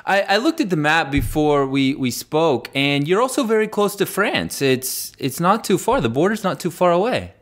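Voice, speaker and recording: Male interviewer, playful and joking, lively pitch, rather high voice, casual questions. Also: moderate pace, hardly any pauses. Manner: playful, joking, many restarts. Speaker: interviewer from the game's team.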